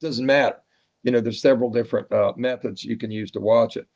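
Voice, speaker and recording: A man's voice: mid-range voice, fairly even intonation, quick pace, a little mellow. A man chatting casually as the host of a radio net. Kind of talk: casual chat. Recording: dull band-limited ham radio audio.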